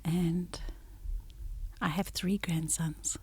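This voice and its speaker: A woman's voice: on the low side, steady tone, drawn-out words, dark tone, husky. A woman in her sixties, a business administrator, introducing herself in a casual family interview with teasing, playful humour.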